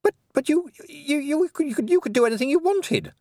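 A man's voice: high-pitched, sing-song, moderate pace, dry recording, clean studio sound, voice pushed up. A man voicing a flustered, anxious young nobleman boy who stammers and pleads.

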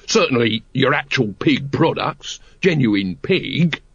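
A man's voice: medium pitch, lively intonation, slow, husky, no echo, muffled recording, exaggerated voice. A man voicing a wheedling, whiny male street sausage seller in a storybook reading, with sing-song sales patter. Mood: hawking.

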